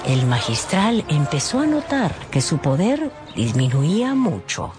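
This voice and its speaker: A woman's voice: low voice, huge pitch swings, a little slow, music in the background. A woman narrating a dramatized radio audiobook expressively, with conversational asides.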